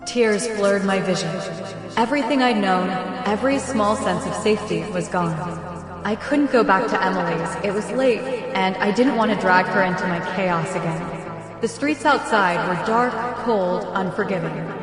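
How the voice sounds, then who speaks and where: A woman's voice: mid-range voice, close to an everyday voice. Echo-drenched frightened pregnant teenage girl, acted by a woman. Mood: despairing. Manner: halting, stammering, emotional. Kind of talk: dramatic first-person roleplay story acting and narration. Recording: echoey, long reverb, music underneath.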